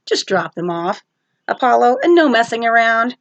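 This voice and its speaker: A woman's voice: a little high, sing-song, normal speed, no room sound, highs cut off. A woman voicing a stern goddess huntress in a storybook, a protective sister speaking in a curt, formal way.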